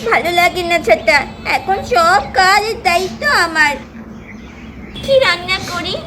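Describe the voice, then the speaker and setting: A woman's voice: extremely high pitch, unhurried. A woman voicing a young household helper in a doll puppet cartoon, complaining to herself about all the work. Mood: grumbling. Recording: steady background noise.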